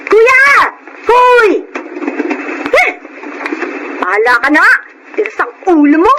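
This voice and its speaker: A woman's voice: very high voice, very animated, slow, heavily acted. A young Filipino woman playing a devout, worried younger sister, earnest and pleading, in a radio drama. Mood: alarmed. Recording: clearly noisy radio drama audio.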